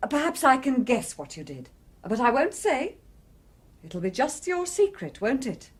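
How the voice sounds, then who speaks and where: A woman playing a shrewd, knowing carer in a radio play, coaxing and deliberate with dramatic intensity. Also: sits high, very animated, slightly rough, exaggerated voice.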